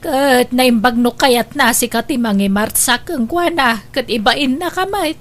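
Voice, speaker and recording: A woman's voice: on the high side, a little slow, fairly bright tone. A woman with a Filipino accent acting an aggrieved, fretting grandmother in a radio drama. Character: aggrieved grandmother, fretting over her sacrificing daughter.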